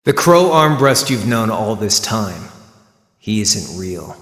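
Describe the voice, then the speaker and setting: Cocky young man, taunting game drama delivery, slow pace, cavernous echo. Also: fairly low pitch, very animated, a bit bright, exaggerated voice. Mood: bitter, resolute. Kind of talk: dramatic video game voice acting. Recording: echoey, long cavernous reverb.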